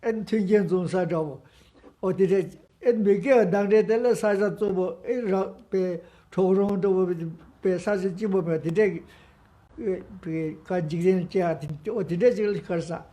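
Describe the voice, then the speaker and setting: Male Buddhist teacher, notably high pitch, mellow relaxed timbre, religious teaching. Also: normal speed.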